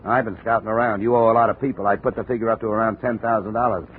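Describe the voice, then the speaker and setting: Deep-voiced hard-boiled detective played by an actor. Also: male voice, steady tone, rapid-fire, close to an everyday voice. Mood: needling, cynical. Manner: dry, clipped, needling. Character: hard-boiled, cynical male insurance investigator. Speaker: lead detective and narrator. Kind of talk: 1950 radio detective drama, first-person narration. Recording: muffled, hissy 1950 radio recording.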